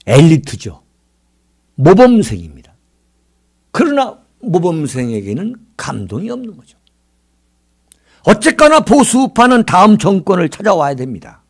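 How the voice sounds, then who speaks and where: A man in his sixties hosts a political commentary monologue. His voice sits high and swings widely in pitch. He speaks like an orator, leaning on dramatic emphasis and posing rhetorical questions. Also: huge pitch swings, a little slow, long pauses, husky. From South Korea. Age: 60s. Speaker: political commentary show host.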